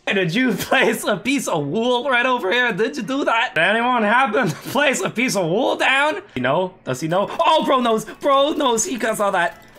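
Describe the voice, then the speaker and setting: A young man streaming a game, excited and laughing, hoarse from losing his voice, his voice cracking high. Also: normal speed, few pauses. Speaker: gaming streamer. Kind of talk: excited gameplay commentary. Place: home gaming setup, indoors.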